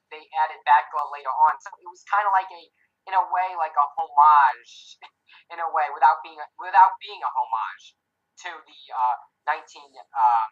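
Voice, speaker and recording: The sound is dull and lo-fi, like an online voice call. A man chats informally as a podcast guest. He speaks haltingly, often restarting and repeating phrases while searching for words. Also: on the high side, a bit bright. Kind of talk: casual podcast discussion. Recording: muffled lo-fi voice call.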